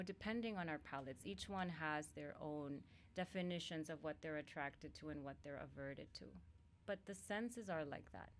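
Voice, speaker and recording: A woman from Honduras, a guest yoga teacher, talking in a calm and measured way about spiritual practice. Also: deep voice, slight background noise.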